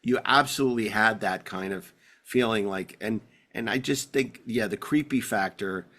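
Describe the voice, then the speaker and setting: A man's voice: fairly low pitch, normal speed, sharp tone. A middle-aged American man hosting a podcast, chatting casually and enthusiastically in a rambling way. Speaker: podcast host. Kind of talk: casual enthusiastic fan chat.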